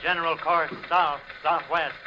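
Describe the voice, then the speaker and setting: A man's voice: fairly high pitch, level tone, a little slow, natural voice. A man acting in a 1950s radio drama, playing an impersonal military operator announcing in clipped, procedural phrases. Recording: muffled, noisy old radio recording.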